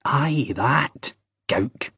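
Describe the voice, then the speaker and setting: Man playing a cheeky young Scotsman, pitched up. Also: expressive, extremely slow, vocal fry, pushed and bright, breathy edge, no room sound, clean studio sound, heavily acted. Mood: cheeky, curious. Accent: Scottish. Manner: blunt, insistent, bantering. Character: earnest, cheeky, loyal young Scottish man.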